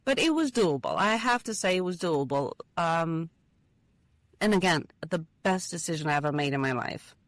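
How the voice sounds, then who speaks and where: Earnest woman from Albania, low voice. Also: expressive, normal speed, no room sound. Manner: earnest, with small hesitations and fillers. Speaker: guest, university lecturer. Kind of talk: casual interview conversation.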